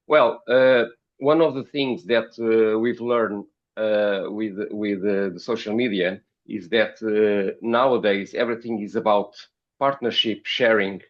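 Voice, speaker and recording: A man from Portugal with a Portuguese accent, giving interview answers as a podcast guest in a halting, hesitant way, often repeating words. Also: lowish voice, fairly even intonation, muffled recording. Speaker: podcast guest, company founder.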